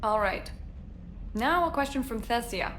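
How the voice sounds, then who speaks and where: A woman's voice: on the high side, expressive, measured pace, fairly bright tone, slight background noise. A woman playing a bold, probing war correspondent in a sci-fi video game. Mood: professional, probing. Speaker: war reporter. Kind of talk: video game dialogue acting.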